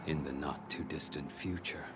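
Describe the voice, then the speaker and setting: An actor playing an ominous, commanding man in a game, grave and deliberate, with noisy ambience behind him. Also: extremely low pitch, fairly even intonation. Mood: grave, cryptic. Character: ominous, commanding man making a cryptic invitation. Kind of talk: dramatic game voice acting. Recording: clear noise and ambience behind.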